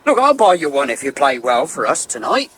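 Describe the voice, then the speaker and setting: Wry young villager, lightish voice. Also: male voice, on the high side, very animated, brisk, a bit bright. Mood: impatient. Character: wry, nagging young village darts captain. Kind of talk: 1980s radio soap acting. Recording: hissy 1980s radio broadcast recording.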